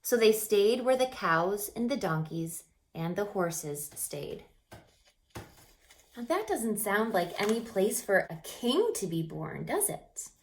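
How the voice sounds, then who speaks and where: Slow-paced woman reading a children's story. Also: mid-range voice, big pitch swings, a little hiss or hum. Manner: dramatic, emphatic delivery with hushed moments. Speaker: storybook reader. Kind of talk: expressive children's story read-aloud.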